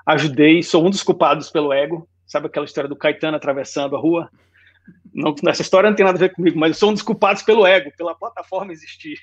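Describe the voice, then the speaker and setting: Homem baiano de voz um pouco aguda. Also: male voice, a little high, fast, breathy edge. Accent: Bahian Portuguese mixed with Rio. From Salvador, Bahia, Brazil. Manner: relaxed storytelling, frequent "né?". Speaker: guest designer. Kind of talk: casual interview chat.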